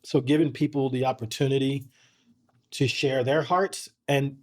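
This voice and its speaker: A middle-aged man, a podcast guest, telling personal stories in an earnest, measured way with frequent restarts. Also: mid-range voice, steady tone. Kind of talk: reflective conversational storytelling.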